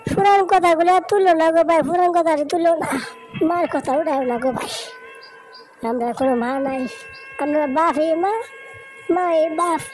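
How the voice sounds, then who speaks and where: A woman's voice: very high voice, fairly even intonation, normal speed, long pauses, noisy background. A woman voicing a protective, emotional elder sister in a cartoon drama, emphatic and repetitive, with a rural Bangladeshi accent. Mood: upset, imploring.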